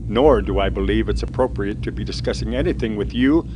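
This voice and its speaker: A man's voice: fairly low pitch, brisk, background noise, natural voice. An actor playing a male starship captain who gives curt, commanding orders. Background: music.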